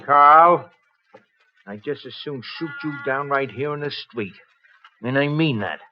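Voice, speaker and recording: A man's voice: medium pitch, measured pace, slight background noise, close to an everyday voice. A man playing a cold, threatening blackmailing ex-convict who gives orders in an old radio crime drama. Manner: threatening, commanding. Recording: muffled vintage radio recording, music behind.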